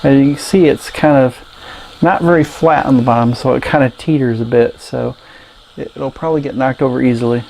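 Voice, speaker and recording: A man presenting a casual, unscripted gadget review in a halting, rambling way. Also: medium pitch, vocal fry, darkish tone, slight background noise, rough recording. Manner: hesitant, halting, rambling delivery.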